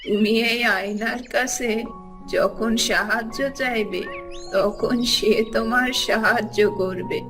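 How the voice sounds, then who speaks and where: A woman's voice: a little high, steady tone, slow pace. An actress playing a frail, kindly old beggar woman in a cartoon fairy tale, with a pleading, solemn manner over music. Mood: solemn warning. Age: older. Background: prominent background music.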